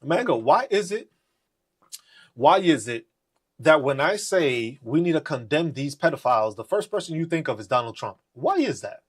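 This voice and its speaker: A man's voice: on the high side, very animated, moderate pace, breathy edge. A man hosting a podcast, ranting in a profane, mocking and confrontational way. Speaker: podcast host. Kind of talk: live political rant.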